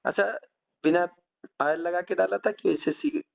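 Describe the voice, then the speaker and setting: A man's voice: high-pitched, a little slow, creaky. A man asking probing, insistent questions in a casual private phone conversation. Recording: dull lo-fi phone recording.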